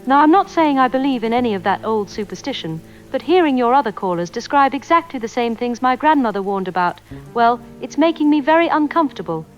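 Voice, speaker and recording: Woman playing an uneasy, high-voiced radio caller. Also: lively intonation, brisk, faint music behind, close to an everyday voice. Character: uneasy woman caller recalling grandmother's old-country warnings. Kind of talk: radio drama phone-in storytelling. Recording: dull band-limited phone-in sound.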